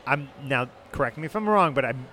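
A man's voice: medium pitch, expressive, brisk, sharp tone, slight background noise. A man from the Buffalo area co-hosting a casual podcast chat in a hotel bar, upbeat as he introduces the guests. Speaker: podcast co-host.

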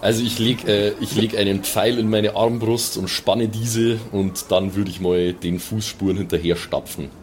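Low-voiced southern German man, casual. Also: fairly even intonation, moderate pace, hardly any pauses, slight background noise. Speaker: player in a pen and paper role-play podcast. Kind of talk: casual improvised role-play chat.

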